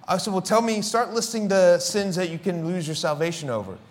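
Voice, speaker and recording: A middle-aged man with a Philadelphia accent preaching a sermon in a fairly high voice. He swings from forceful declarations down to near whispers. He acts out both sides of conversations when he tells stories. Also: brisk. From Philadelphia inner city. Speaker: pastor.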